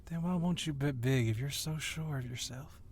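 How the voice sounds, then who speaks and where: A man's voice: mid-range voice, normal speed, darkish tone, husky, close to an everyday voice. A man playing a confident, sly policeman wrestler who teases and goads his rival in an ASMR roleplay. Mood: goading. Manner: teasing, needling.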